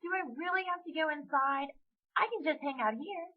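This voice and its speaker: A woman puts on a young girl from a children's story, grumpy and dramatic. Her high voice leaps about as she whines and complains. The sound is a little dull. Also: very animated, moderate pace. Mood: sulky. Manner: whiny, complaining, exclamatory. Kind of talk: children's story character reading.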